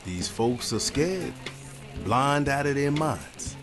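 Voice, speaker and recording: Eager bar hand, radio serial; mid-pitched, folksy, respectful, plucked guitar behind. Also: male voice, lively intonation, slow pace. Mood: friendly, obliging. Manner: folksy, colloquial. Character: folksy, deferential speakeasy employee, eager and obliging. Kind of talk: old-time radio drama acting.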